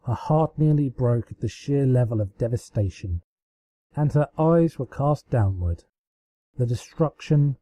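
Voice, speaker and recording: Dark, mellow man reading a story. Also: medium pitch. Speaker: amateur fan fiction reader. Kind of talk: dramatic storybook narration.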